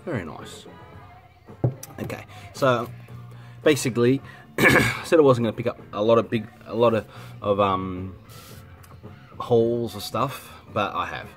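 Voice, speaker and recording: Deep-voiced Australian man, stuffy with a cold. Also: unhurried, pauses often. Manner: rambling, self-correcting, frequent ums and throat clearing. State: congested with a head cold. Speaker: vinyl collecting vlogger. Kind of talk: casual rambling chat to camera. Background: music playing softly.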